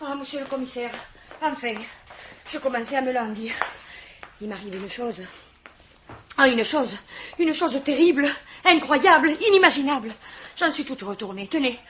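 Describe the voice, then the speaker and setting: A woman's voice: sits high, expressive, moderate pace, pauses often. An actress playing a chatty, frightened landlady with a southern French Provençal accent, theatrical and repetitive, in a radio mystery play. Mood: flustered, dramatic. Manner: breathless exclamations, repetitive, theatrical. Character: melodramatic, chatty, frightened landlady with folksy turns of phrase. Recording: noisy old radio recording with clicks.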